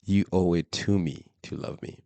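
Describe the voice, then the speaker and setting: A man hosting a solo faith podcast with a hoarse, worn voice, talking emphatically, repeating himself and using many fillers. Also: low-pitched, steady tone, normal speed, warm tone, dry recording. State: hoarse, lost voice. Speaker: podcast host.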